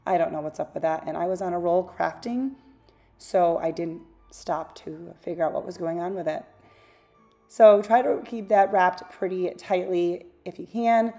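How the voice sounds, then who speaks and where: A woman hosting a craft channel, talking through a tutorial in a casual, rambling voice-over; her voice is a little raspy from losing it. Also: on the low side, steady tone, normal speed, dark tone, faint music behind. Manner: chatty, rambling, self-correcting asides.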